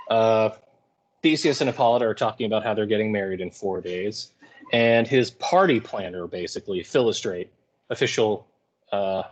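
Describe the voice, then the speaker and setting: A man giving an informal lecture as a high school English teacher, explaining in colloquial paraphrase. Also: low-quality recording.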